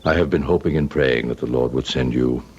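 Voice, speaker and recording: An actor playing a grave, pious Father Superior in an old-time radio drama, speaking in a solemn, measured, formal way. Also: rumbling low. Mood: grave, courteous. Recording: dull, hissy vintage radio recording.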